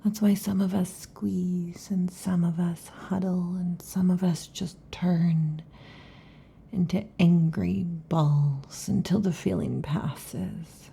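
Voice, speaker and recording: A woman voicing a restless, affectionate female lamia in an ASMR roleplay, whispering softly. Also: on the low side, steady tone, slow, gravelly, husky, exaggerated voice. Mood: whispery, intimate. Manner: soft intimate whispering. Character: restless affectionate female lamia, snake-tailed monster girl.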